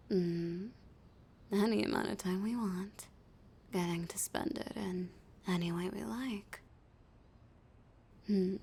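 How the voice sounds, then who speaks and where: A woman voicing a flirty, dominant female villain in an intimate audio roleplay, teasing and often dropping into a breathy whisper. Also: medium pitch, mellow. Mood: teasing, seductive.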